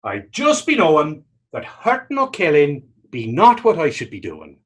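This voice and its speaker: A man playing a battered, pious and self-mocking fisherman in readers theater, telling his story in halting, folksy dialect. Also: fairly high pitch, wildly swooping pitch. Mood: reflective. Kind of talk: readers theater dramatic dialogue.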